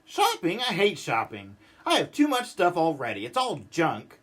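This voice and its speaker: A man voicing a cranky, stubborn, exasperated man who grumbles and rants in an animated storybook read-aloud. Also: fairly high pitch, normal speed, voice pushed down, heavily acted. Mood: grumpy complaining. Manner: exasperated, ranting, emphatic.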